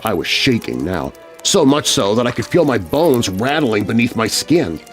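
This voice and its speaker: A man narrating a scary children's story in an expressive, suspenseful, scripted style. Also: mid-range voice, normal speed, faint music behind. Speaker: narrator, voice artist.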